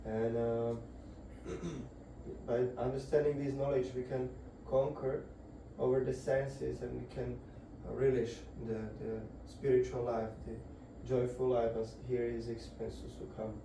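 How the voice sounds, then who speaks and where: A man's voice: mid-range voice, level tone, vocal fry, dark tone, slight background noise. Man lecturing far off in an echoey room. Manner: halting, searching for words. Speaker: lecturer at a devotional program. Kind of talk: devotional scripture lecture. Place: indoor gathering room.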